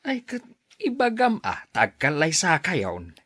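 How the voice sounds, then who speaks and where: A man's voice: a little high, huge pitch swings, takes it slowly, pushed and bright, breathy edge, no echo, clean studio sound, heavily acted. An actor playing a blunt, fatherly older man in an Ilocano radio drama. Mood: advising.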